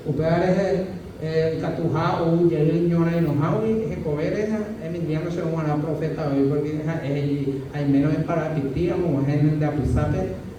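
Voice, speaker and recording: Man, student presenting his thesis; higher, mellow voice, heard from across a reverberant room with light hiss. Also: fairly even intonation, moderate pace. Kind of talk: formal academic presentation. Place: university lecture room. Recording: echoey room, mic far off, faint hiss.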